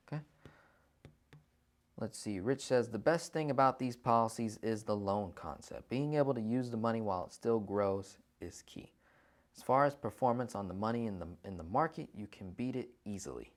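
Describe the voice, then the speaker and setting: A man hosting a casual livestream finance Q&A, talking persuasively and often checking in with listeners. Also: fairly low pitch. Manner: conversational, persuasive, often checks in with "right?" and "okay?". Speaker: financial Q&A host.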